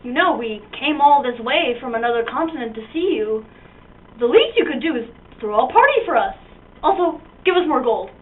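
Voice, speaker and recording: A woman's voice: high voice, very animated, normal speed. A woman voicing a smug, greedy male Spanish conquistador, proclaiming grandly and slangily in an amateur puppet comedy. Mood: entitled. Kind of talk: amateur comic puppet show. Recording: tinny lo-fi mic from a distance.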